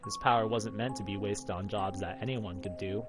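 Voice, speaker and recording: Low-voiced man narrating a game story. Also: fairly even intonation, fairly quick, music in the background. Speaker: gaming video host. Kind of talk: reading a visual novel aloud, playthrough narration.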